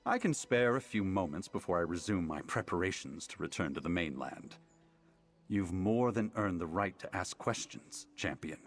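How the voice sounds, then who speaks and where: Grave, low-voiced mage advisor. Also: male voice, lively intonation, faint music behind. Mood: grave, concerned. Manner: deliberate, solemn, formal. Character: grave, learned male mage advisor, measured and worried. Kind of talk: fantasy video game dialogue.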